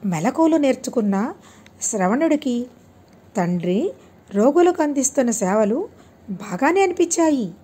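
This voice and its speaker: Expressive, high-pitched woman storyteller. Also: slightly rough. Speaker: audiobook storyteller. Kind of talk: scripted moral story narration.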